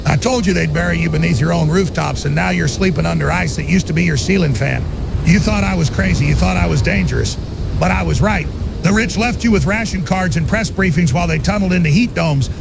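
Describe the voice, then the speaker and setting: A man acting as an accusing doomsday prophet in an audio drama. The voice is high and full of gravelly creak, scornful and intense. Rumbling noise is clear behind the rant. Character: bitter, accusing male doomsday conspiracy prophet. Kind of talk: apocalyptic audio drama monologue.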